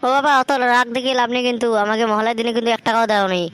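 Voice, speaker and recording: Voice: mid-range voice, fairly even intonation, racing, lightly acted. A performer with a Bengali accent voicing an insistent, pleading son in a comic cartoon. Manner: pleading, repetitive. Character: whiny, insistent son begging his father for money.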